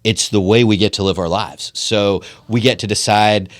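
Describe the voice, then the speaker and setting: A man's voice: lowish voice, fairly even intonation, normal speed, no echo, clean studio sound. A middle-aged man from Pennsylvania, a podcast guest talking in an earnest, thoughtful way with frequent ums and restarts. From Pennsylvania, USA. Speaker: podcast guest, political strategist. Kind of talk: conversational podcast interview.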